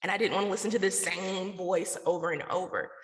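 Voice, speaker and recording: A woman's voice: fairly low pitch, steady tone, brisk. A woman answering interview questions conversationally, candid and casual, explaining herself with lots of "like". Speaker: interview guest, podcaster and radio station founder. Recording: echoey room with long reverb.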